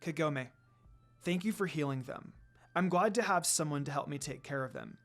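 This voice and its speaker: A reader voicing a gentle demon noblewoman and mother in a fan fiction story, speaking in a measured, courteous way. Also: medium pitch, soft background music, voice pushed down, natural voice. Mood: grateful.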